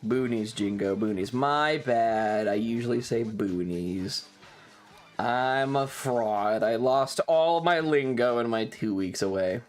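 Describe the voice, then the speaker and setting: A man's voice: mid-range voice, takes it slowly, faint music behind. A man chatting casually as a livestream host, sweary and self-deprecating, sounding slightly tired. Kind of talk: casual rambling stream chat.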